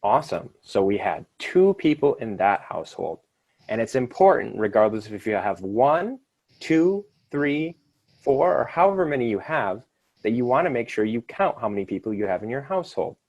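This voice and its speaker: A man's voice: mid-range voice, big pitch swings, normal speed, a little mellow, dry recording. A man leading a children's activity, giving instructions to kids and families in an upbeat, encouraging way.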